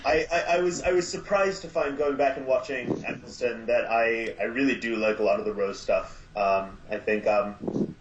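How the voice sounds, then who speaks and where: A man's voice: medium pitch, normal speed, slight background noise. Thoughtful man, roomy dull sound. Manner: thoughtful, weighs his words. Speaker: podcast guest. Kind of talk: casual chat.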